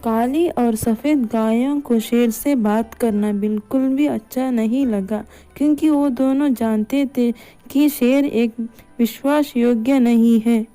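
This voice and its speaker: A woman's voice: a little high, slow, mellow. A woman narrating a scripted moral story as a storyteller. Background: background music.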